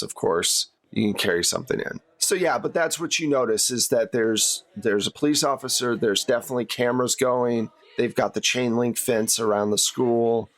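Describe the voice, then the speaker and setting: A man's voice: lowish voice, a bit bright. Conversational man running a tabletop game. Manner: conversational, explanatory. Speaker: game master. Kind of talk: improvised tabletop game narration.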